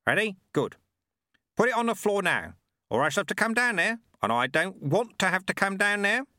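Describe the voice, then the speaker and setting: Guy doing a blunt old sweeper monk, high and bright, dry sound. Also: sing-song, moderate pace, dry recording. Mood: urgent. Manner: folksy, dropped grammar, mutters.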